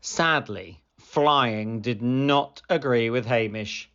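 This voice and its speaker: A woman narrating a children's picture book in an expressive read-aloud style. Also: extremely low pitch, slow, lo-fi sound. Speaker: storybook reader.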